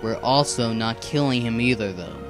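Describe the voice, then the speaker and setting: A man's voice: medium pitch, normal speed, close to an everyday voice. Man playing a level-headed fantasy assassin, music behind. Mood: reasoning. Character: level-headed, dutiful male assassin serving a dark fantasy lord. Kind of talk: amateur animated fantasy series acting.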